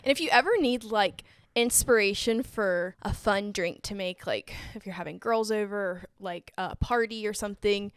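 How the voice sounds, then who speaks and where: A woman's voice: medium pitch, normal speed, hardly any pauses, smooth, no echo. Chatty young woman podcast co-host. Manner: chatty, lots of "like" fillers. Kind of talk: casual chat.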